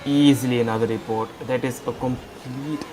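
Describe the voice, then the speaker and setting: A man commentating a live sim-racing stream, hoarse from losing his voice, excitable and blunt. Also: medium pitch, moderate pace. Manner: excitable, blunt, talks over co-host. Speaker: esports race commentator. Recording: game sounds and music behind. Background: racing game engine noise and music.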